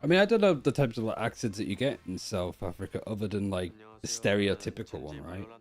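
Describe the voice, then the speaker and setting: A man's voice: on the low side, lively intonation, quick pace, soft background music. A man co-hosting a stream who jokes and swears in casual chat. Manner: joking, swears. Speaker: stream co-host.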